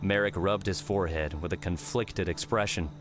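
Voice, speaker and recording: A man's voice: sits low, fairly even intonation, moderate pace, background noise. A man reading scripted story narration for an audiobook.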